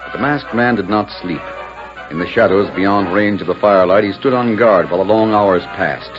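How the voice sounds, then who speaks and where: A man's voice: on the low side, normal speed. A man reading scripted narration for a 1940s radio western drama. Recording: muffled 1940s radio recording with music. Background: music.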